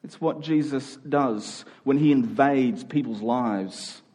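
A man's voice: mid-range voice, slow, warm tone. A man speaking as a pastor, giving an earnest sermon to a congregation in a conversational, informal way.

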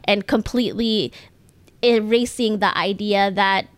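A woman's voice: mid-range voice, steady tone, unhurried, no room sound. A woman co-hosting a podcast in casual conversation, speaking candidly and swearing casually. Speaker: podcast co-host.

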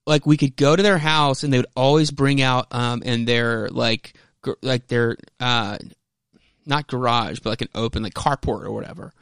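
A man's voice: mid-range voice, steady tone, moderate pace, a bit bright, clean tone, no room sound. Rambling man podcasting, very dry sound. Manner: rambling, hesitant, self-interrupting, profane. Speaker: podcast host. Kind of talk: casual rambling commentary.

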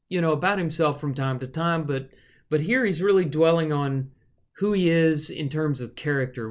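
Man musing aloud over scripture. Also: fairly high pitch. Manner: thinking aloud with many fillers and restarts. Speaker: podcast co-host, preacher. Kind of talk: casual Bible study discussion.

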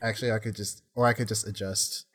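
Male co-host, jokey quick wisecracks, home laptop mic, casual. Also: lowish voice, level tone, a bit bright, breathy edge. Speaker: podcast co-host. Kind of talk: casual podcast chat. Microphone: laptop mic on a remote call.

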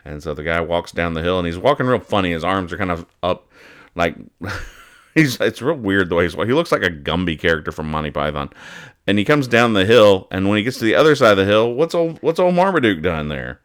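A man hosting a casual, humorous show, giving halting, mock-earnest commentary as he goes. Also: low voice, big pitch swings, fairly bright tone, clean tone. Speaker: host of a comics-reading show.

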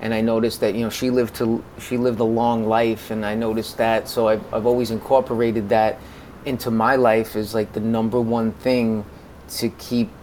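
American male, 40s, podcast guest. Lowish voice, halting conversational delivery, noticeable background noise. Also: little pitch movement, a little mellow, poor audio. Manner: halting, repeats words as he goes. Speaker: podcast guest, music consultant. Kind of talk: conversational interview.

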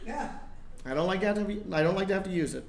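A man's voice: sits high, lively intonation, quick pace. A man playing an earnest, bossy young child in a live comic stage reading, with emphatic, precise declarations. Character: young child, earnest, bossy, precocious about Santa's lap. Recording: roomy live stage recording, clear background noise.

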